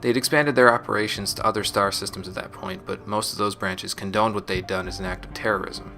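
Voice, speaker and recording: A man playing a resolute, guilt-burdened space marine, recording a measured, solemn confessional audio log. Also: fairly low pitch, steady tone, close to an everyday voice. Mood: sober, firm. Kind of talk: scripted sci-fi audio log monologue. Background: music.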